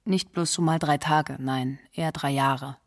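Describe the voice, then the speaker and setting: A woman's voice: low-pitched, fairly even intonation, measured pace. An actress playing a resigned, sorrowful woman recalling a doomed singer in a radio play monologue. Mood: resigned, sad.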